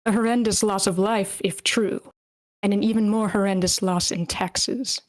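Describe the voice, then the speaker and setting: A woman's voice: medium pitch, steady tone, normal speed, lightly acted. A woman playing a haughty, disdainful rich noble in a screenplay table read over an online call.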